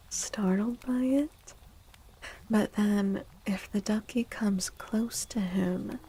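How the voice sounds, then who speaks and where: Soft-spoken, slow, creaky-voiced woman streamer. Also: medium pitch, rough recording. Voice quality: soft, breathy, often dropping into whispers. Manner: gentle, unhurried, chatty. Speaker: livestreamer. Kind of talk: soft-spoken ASMR livestream chat. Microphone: close microphone.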